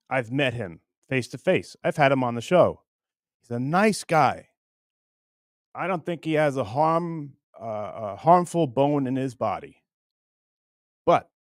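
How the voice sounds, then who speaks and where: A man hosting a casual comedy show, talking straight to viewers in a halting, stop-and-start way. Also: medium pitch, no echo, studio quality. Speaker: show host introducing a puppet bit.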